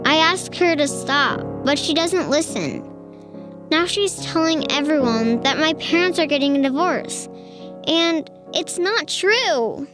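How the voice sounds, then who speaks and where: A woman reading a children's storybook aloud in a deliberate storytelling manner. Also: high voice, lively intonation, over music.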